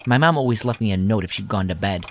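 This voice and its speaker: A man's voice: fairly low pitch, expressive, fast talker. A man playing a grown-up wryly recalling his boyhood in scripted first-person audio drama narration. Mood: wry, nostalgic. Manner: wry, nostalgic storytelling.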